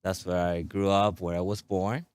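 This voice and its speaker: A young man from Puerto Rico with a very low, smooth voice talks as an interview guest. He speaks eagerly and loosely, tossing in "right?" often. The sound is close and dry. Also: flat delivery, normal speed, darkish tone, no echo. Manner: eager, loose and conversational, tag questions like "right?". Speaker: army recruiter, interview guest. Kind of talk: casual interview.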